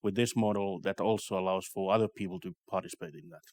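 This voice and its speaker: A man with a Finnish accent answering interview questions about business in a measured, tentative way. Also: sits low, steady tone, darkish tone. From Finland. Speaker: investment company representative, interviewee.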